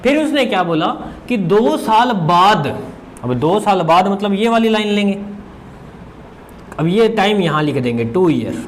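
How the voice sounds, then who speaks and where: High-pitched male maths teacher. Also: sing-song, unhurried, a little hiss or hum. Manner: conversational, asks and answers his own questions as students would; repeats phrases for emphasis. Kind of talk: informal exam-prep lesson.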